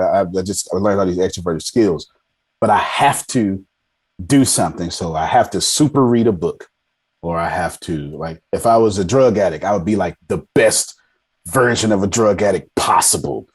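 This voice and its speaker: Low-voiced man of forty telling stories. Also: expressive, moderate pace, breathy edge. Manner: self-deprecating, emphatic, repeats phrases for effect. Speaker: podcast guest, army veteran. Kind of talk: casual storytelling interview. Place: indoors on a video call.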